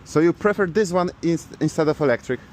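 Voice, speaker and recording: A man from Poland with a Polish accent, talking casually in halting, simple non-native English as a vlogger. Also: on the high side, expressive, a little mellow, a little hiss or hum. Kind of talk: casual vlog talk.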